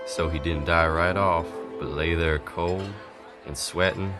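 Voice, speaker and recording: Deep-voiced folksy storyteller played by a man. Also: steady tone, measured pace, over loud music. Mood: folksy, dramatic. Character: folksy Old West storyteller, unhurried male townsman. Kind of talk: scripted narration of a Western folk tale.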